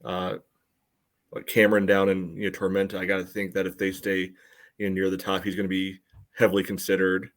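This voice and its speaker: Deep-voiced man giving casual sports analysis. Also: completely flat delivery, fairly quick. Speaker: podcast panelist giving analysis.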